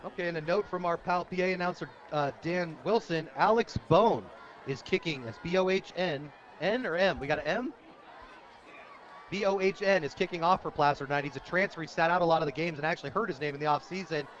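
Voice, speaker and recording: An American man with a high voice announces a football game live on the radio. He works as the play-by-play caller, following the action as it happens. The broadcast sound is dull and lo-fi. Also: a little fast, a bit bright, a faint crowd. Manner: reads sponsor plugs between plays.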